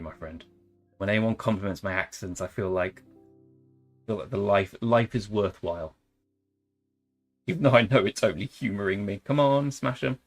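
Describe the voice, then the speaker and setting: A young man chats loosely while playing a strategy game on stream. His voice sits a little low and he rambles between jokes. Soft guitar music plays faintly underneath. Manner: jokey, rambling, tries silly accents. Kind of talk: casual live-stream chat over gameplay.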